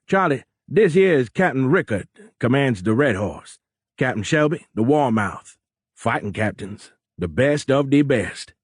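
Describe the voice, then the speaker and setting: Big boisterous Cajun navy skipper. Blustering, domineering, colloquial, pushed high and loud. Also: male voice, very animated, measured pace, husky, no echo, studio quality. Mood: boisterous, eager. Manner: folksy, blustering, colloquial drawl. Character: big gruff cigar-chomping Cajun submarine captain, boisterous, domineering.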